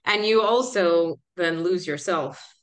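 A woman's voice: on the low side, slow. A woman in her forties hosting a podcast interview, affirming and encouraging, who asks long, thoughtful questions. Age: 40s. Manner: warm, affirming, frequent agreement sounds. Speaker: podcast host.

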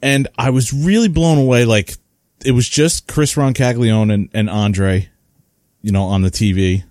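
A man's voice: mid-range voice, measured pace, no echo, clean studio sound. A man co-hosting a hobby podcast, chatting casually and telling stories with lots of fillers. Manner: rambling, animated storytelling with many fillers. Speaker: podcast co-host. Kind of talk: casual chat.

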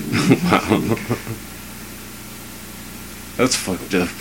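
Deep-voiced man, noisy podcast. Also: expressive, very slow. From Southern California. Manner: rambling and profane, with many restarts. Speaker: podcast co-host. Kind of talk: casual chat. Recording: noisy home podcast recording.

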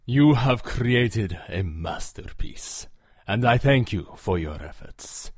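A man playing a suave, commanding vampire in a radio drama, speaking formally with measured, hypnotic diction and a husky voice. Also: on the low side, lively intonation, dry recording, clean studio sound, full character voice. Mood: smooth, flattering. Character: suave, commanding male vampire, seductive and menacing.

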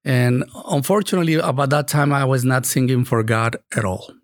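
Gravelly Mexican-accented man, halting. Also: mid-range voice, dry recording, clean studio sound. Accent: Mexican Spanish. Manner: halting, many fillers and restarts. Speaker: parish choir singer, guest. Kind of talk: casual interview conversation.

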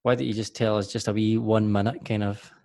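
A man's voice: on the low side, flat delivery, a little fast, vocal fry, dark tone, dry recording, studio quality. A man hosting a podcast interview, chatty and jokey, often restarting his sentences. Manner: rambling, self-correcting, jokey. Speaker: podcast host.

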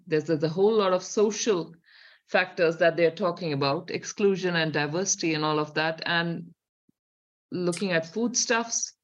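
A woman's voice: lowish voice, lo-fi sound. A middle-aged woman with an Indian accent, co-hosting a talk show with sardonic, questioning commentary. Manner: sardonic, questioning, reads from reports. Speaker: co-host.

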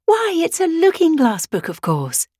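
A woman's voice: extremely high pitch, huge pitch swings, measured pace, warm tone, no echo, studio quality, pitched above the performer's own voice, heavily acted. A woman voicing a curious young girl in a children's storybook, eager and wondering. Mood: delighted realisation. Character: curious, bright young girl puzzling things out.

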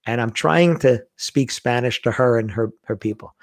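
A man's voice: mid-range voice, moderate pace, dry recording, studio quality. A middle-aged man telling stories in a casual conversation, acting out the lines he quotes. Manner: animated storyteller, acts out quoted lines. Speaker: guest.

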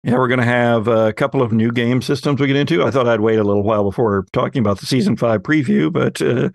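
A man's voice: mid-range voice, fast, creaky, no room sound, studio quality. A man hosting a casual panel discussion on a podcast. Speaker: podcast host.

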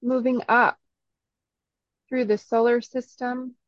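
A woman leading a guided meditation in a soothing, instructional way, in short phrases with long pauses between them. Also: on the high side, level tone, dry recording, lo-fi sound.

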